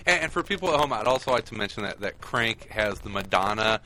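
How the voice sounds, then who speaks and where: A man's voice: lowish voice, expressive, fast talker, sharp tone, slight background noise, low-quality recording. A man chatting casually on a podcast, talking with plenty of fillers. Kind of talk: casual chat.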